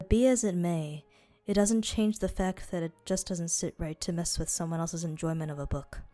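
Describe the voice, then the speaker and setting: A woman voicing a devout, courteous desert guardian in an amateur game dub, speaking in a formal, measured, advising manner. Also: on the low side, mellow, soft background music, natural voice. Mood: gently admonishing.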